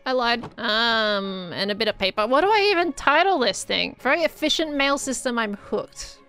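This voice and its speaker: A slightly hoarse woman with an Australian accent, chatting casually through a Minecraft let's-play. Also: fairly high pitch, animated, moderate pace, fairly bright tone, faint music behind. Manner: chatty, bubbly, cooing over things. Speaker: Minecraft YouTuber.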